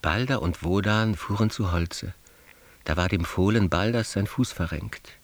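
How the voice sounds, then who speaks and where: An actor in a radio drama voicing a solemn man reciting an ancient spell in measured, rhythmic phrases. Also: deep voice. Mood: solemn incantation. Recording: long cavernous reverb.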